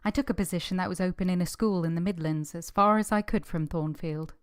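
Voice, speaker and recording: A woman's voice: on the low side, fast talker, loud music behind the voice, close to an everyday voice. A woman playing an earnest, steadfast young governess who tells her own story in a radio drama, restrained and sorrowful. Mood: sorrowful narration. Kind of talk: period radio drama narration and acting.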